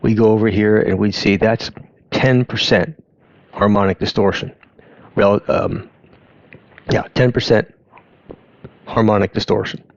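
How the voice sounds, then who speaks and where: A man with a fairly low voice talks through a technical explanation informally. His delivery is halting and conversational, often doubling back on itself. The recording is dry and somewhat dull, with little room sound. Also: steady tone, dry recording. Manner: halting, conversational, self-interrupting. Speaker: host of an audio education channel. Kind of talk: informal explainer talk over on-screen graphs.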